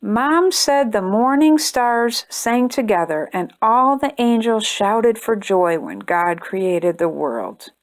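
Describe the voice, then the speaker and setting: Gentle, lively woman reading a kids' story. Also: a little high, takes it slowly, few pauses. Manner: gentle, expressive reading, brighter and chattier when addressing viewers. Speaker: storytime host reading a children's book. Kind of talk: read-aloud storytelling for kids.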